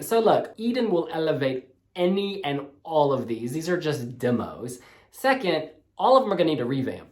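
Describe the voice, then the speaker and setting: A man hosting a music blog video, gushing and sassy as he casually reviews song demos. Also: fairly high pitch. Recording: roomy echoey room.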